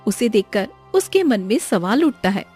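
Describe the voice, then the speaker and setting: A woman's voice: mid-range voice, very animated, normal speed, darkish tone. A woman reading a scripted moral story for children as its narrator. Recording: music behind the voice. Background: background music.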